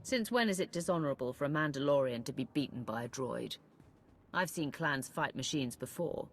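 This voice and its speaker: A woman's voice: extremely low pitch, animated, brisk, slight background noise. A woman playing a stern female warrior commander who speaks firmly and directly.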